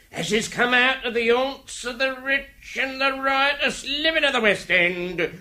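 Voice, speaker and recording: Radio drama role: pious reformed London safe-breaker, Cockney, high-pitched, slow and fervently preachy. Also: male voice, pushed and bright, full character voice. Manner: preacherly fervour, folksy ungrammatical speech. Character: reformed Cockney burglar turned pious, hymn-singing locksmith.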